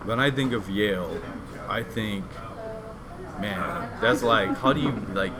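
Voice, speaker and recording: A man's voice: fairly low pitch, slow, a crowd in the background. A man hosting an interview, asking rambling, curious questions that he restarts often. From immigrant. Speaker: podcast host, painter. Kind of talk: casual interview.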